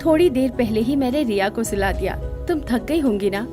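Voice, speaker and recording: A woman plays a middle-aged aunt in an audio drama, kind and motherly. Her voice sits fairly high, with music clearly playing behind it. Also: expressive, brisk, lightly acted. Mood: caring. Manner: caring, motherly.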